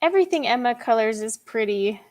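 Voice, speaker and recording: A woman's voice: on the high side, animated, fairly quick, darkish tone. A woman hosting a live coloring chat stream, talking casually with lots of fillers and frequent laughter. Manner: chatty, laughs often, many fillers.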